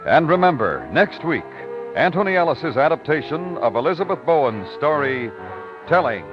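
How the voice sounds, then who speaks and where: A man announcing in formal, measured, dramatic 1950s radio style, reading from a script. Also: on the high side, sing-song. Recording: dull vintage radio, music behind.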